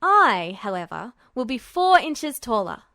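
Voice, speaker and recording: A woman voicing a gruff, earnest, short-tempered teenage boy with a blunt, emphatic manner in a story reading. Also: fairly high pitch, sing-song, a little slow, sharp tone, no echo, studio quality, exaggerated voice. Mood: teasing.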